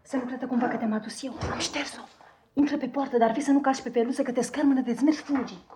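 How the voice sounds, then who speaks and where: Woman doing bossy gossipy maid in old radio play, fairly high voice, blunt but kind. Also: fairly even intonation, background noise, natural voice. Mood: hurried, bemused. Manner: brusque, chatty. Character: brisk, gossipy housemaid, blunt but kindly. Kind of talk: 1950s radio drama acting.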